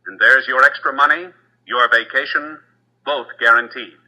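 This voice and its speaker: An American man announcing in a formal, measured, dramatic 1950s network radio style. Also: medium pitch, fairly even intonation, pushed and bright, low-quality recording. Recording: old radio broadcast with music. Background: music.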